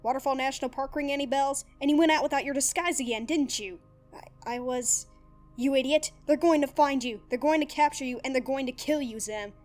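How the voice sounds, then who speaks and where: A woman voicing a nervous but hot-tempered young man who yells in anger. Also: a little high, fast, few pauses, faint music behind, lightly acted. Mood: furious. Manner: shouting in rage, nervous small talk. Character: nervous, furious young man hunting aliens.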